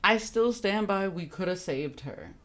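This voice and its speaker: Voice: medium pitch, animated, unhurried, fairly bright tone. A young adult game streamer chatting casually with viewers in a sweary, giggly, teasing manner. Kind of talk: casual livestream chat with viewers.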